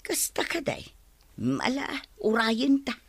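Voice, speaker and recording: A woman's voice: on the high side, huge pitch swings, takes it slowly, breathy edge, full character voice. A woman playing a practical, agreeable village wife in an Ilocano radio comedy drama, with an Ilocano Filipino accent. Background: music.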